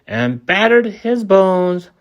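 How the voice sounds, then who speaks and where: An amateur reader narrating a children's story in an animated way, halting and sometimes stumbling over words. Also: mid-range voice.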